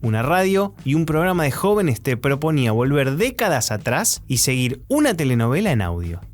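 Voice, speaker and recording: An Argentine man narrates a scripted audio documentary with very lively intonation. His mid-pitched voice sits over faint music. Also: normal speed.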